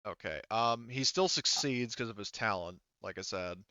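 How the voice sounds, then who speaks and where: A man casually running a tabletop roleplaying session as game master, halting and thinking aloud as he goes. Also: lowish voice, highs cut off, background noise.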